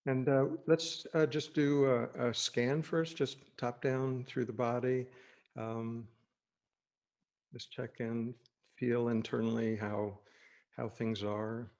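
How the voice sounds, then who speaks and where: A man leading an unscripted guided meditation as a teacher, speaking gently and reassuringly in halting phrases with pauses. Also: mid-range voice, flat delivery.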